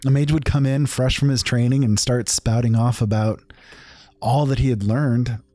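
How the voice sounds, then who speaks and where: A man narrating a first-person fantasy tale as a storyteller, with measured, formal, old-fashioned phrasing. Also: mid-range voice, fairly even intonation, dark tone, dry recording.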